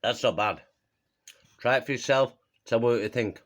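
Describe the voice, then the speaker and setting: A man's voice: medium pitch, normal speed, dull audio. A man with a Yorkshire accent hosting a mock cooking show at home in a kitchen, joking at himself and chuckling between lines. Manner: jokey and self-mocking, chuckling between lines. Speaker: joke cooking video host.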